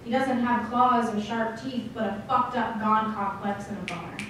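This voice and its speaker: A woman performing slam poetry, speaking deliberately with dramatic phrasing and pauses. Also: on the high side, fairly even intonation, far from the mic. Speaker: slam poet. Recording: echoey room from afar, faint noise.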